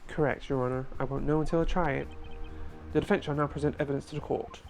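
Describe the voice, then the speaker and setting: A man's voice: a little high, fairly even intonation, fast, gravelly, faint music behind. A man voicing a confident young male defense lawyer in a fan dub of a courtroom game, building his case in a methodical, pointed way.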